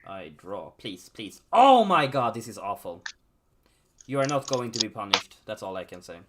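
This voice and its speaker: A man's voice: on the low side, sing-song, moderate pace, fairly bright tone. A man playing a card game with a friend on stream, casual and self-deprecating. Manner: self-deprecating, reacts with groans and laughs. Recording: noisy stream recording.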